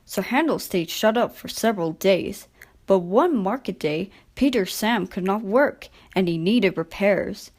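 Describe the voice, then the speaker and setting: A woman narrating a children's story from a script in an expressive, deliberate storytelling manner. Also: medium pitch.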